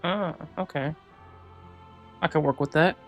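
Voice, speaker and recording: Slow, creaky-voiced casual game streamer. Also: mid-range voice, faint music behind. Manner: offhand, muttering to self. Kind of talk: casual let's-play commentary. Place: indoor gaming setup, game music behind.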